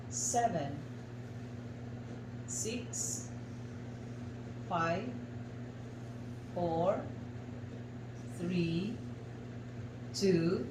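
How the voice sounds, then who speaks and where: A woman with a Filipino accent guides an art exercise as a facilitator, giving deliberate instructions in short, separated phrases. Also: on the low side. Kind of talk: slow guided instruction for a radio lesson. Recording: echoey room, clear background noise.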